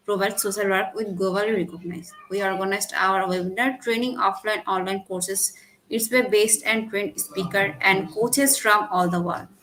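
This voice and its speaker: A woman from Bangladesh hosting an online webinar, reading a formal welcome in a halting way and restarting her lines. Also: medium pitch, a little hiss or hum, rough recording. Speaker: webinar host.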